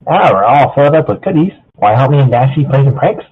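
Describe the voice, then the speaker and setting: A man's voice: mid-range voice, lively intonation, fast talker, husky. A man playing a bubbly, playful female cartoon pony in a fan fiction reading. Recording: tinny band-limited voice call, noisy.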